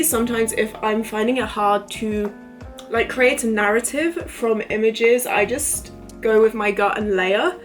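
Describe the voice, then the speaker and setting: A woman in her twenties vlogging casually to camera, chatty and rambling, her voice a little croaky from illness. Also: a little high. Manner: chatty, rambling, lots of fillers. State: recovering from illness, voice a bit croaky. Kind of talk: casual talking-to-camera vlog.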